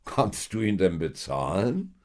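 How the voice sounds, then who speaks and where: A man voicing a rich, proud, hard-bargaining dealer in a storybook tale, haughty, loud and drawn-out. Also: deep voice, big pitch swings. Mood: dismissive. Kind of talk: storybook character voice in narrated tale.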